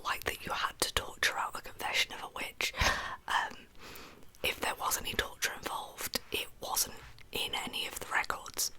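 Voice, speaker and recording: A woman narrating in a breathy, unvoiced ASMR whisper, hushed and unhurried, like a storyteller. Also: rough recording. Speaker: host of an ASMR true crime channel. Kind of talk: soft-spoken ASMR storytelling.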